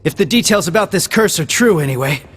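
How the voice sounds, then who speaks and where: A man voicing a laid-back, sharp-minded young man in a video game. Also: high voice, lively intonation, fast, slightly rough. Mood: serious. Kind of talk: English video game voice acting.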